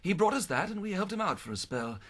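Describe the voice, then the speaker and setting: A genial Victorian charity official in a radio play, folksy and confiding. His voice sits fairly high and swings up and down in a lively way. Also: quick pace, slight background noise. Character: chatty, genial man at an actors' charity fund. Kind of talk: radio drama acting.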